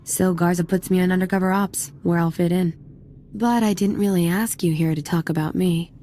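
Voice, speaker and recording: A woman's voice: lowish voice, fairly even intonation, normal speed, warm tone, slight background noise, natural voice. An actress playing a flirtatious, cocky female army sergeant in a video game, with a teasing, playful manner. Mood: flirty, teasing. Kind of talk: video game cutscene acting.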